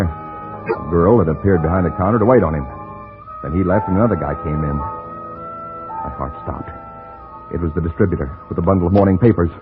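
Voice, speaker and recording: A desperate American newspaperman in a vintage radio thriller. His low voice swings widely as he tells his story in clipped, hard-boiled phrases. The old broadcast sounds dull, with music behind him. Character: desperate male newspaper reporter on the run. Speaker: lead actor and first-person narrator. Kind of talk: vintage radio noir acting.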